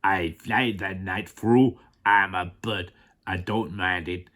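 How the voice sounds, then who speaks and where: A reader voicing a gruff, boastful male eagle with a mock Russian accent, speaking in a sneering, drawn-out growl. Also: sits low, fairly even intonation, a bit bright.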